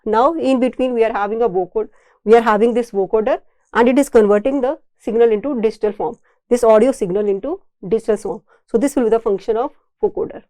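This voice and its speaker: Indian lady teaching engineering, kinda high mellow voice, explains and repeats stuff, very dry sound. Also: fast, no echo. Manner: didactic, repeats and rephrases points. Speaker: engineering lecturer. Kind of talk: explanatory technical lecture to camera.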